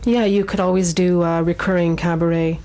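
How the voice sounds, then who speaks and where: A woman's voice: fairly low pitch, level tone, fairly quick, dark tone. A woman hosting a radio show, chatting casually and without a script with her guest. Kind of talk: casual unscripted radio chat. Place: radio studio.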